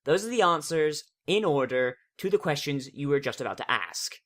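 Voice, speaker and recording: A man's voice: fairly high pitch, animated, moderate pace, fairly bright tone. A man voicing an earnest, brainy young female unicorn pony in a clipped, urgent manner. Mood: urgent, hurried.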